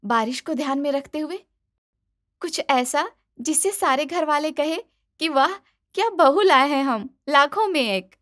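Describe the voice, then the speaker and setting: A woman acts out a young bride in a cartoon family story. Her voice is high, eager and expressive, made for coaxing her in-laws and sulking a little when hurt. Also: unhurried. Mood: excited, proud. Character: eager young daughter-in-law, cheerful, pleading, easily hurt. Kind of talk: animated moral story dialogue.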